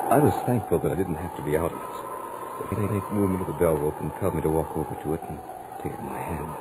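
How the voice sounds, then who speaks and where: An actor playing an anxious student who narrates his mounting dread in a dramatic, deliberate 1940s radio horror style. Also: deep voice, noisy background, lightly acted. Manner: dramatic, deliberate, suspenseful delivery. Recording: old 1940s radio recording, music behind. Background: dramatic musical score.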